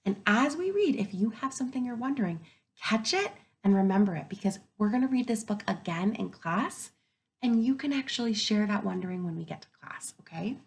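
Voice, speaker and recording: Animated woman teacher reading to young children. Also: mid-range voice, creaky. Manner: expressive, animated, pauses to wonder aloud. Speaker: kindergarten teacher. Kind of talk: picture book read-aloud for young children with think-aloud comments.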